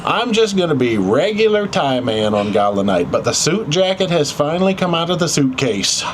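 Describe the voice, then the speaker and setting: A man's voice: on the high side, moderate pace, slight background noise. A man talking casually and playfully as a travel vlogger. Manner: playful, animated. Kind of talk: casual vlog chat.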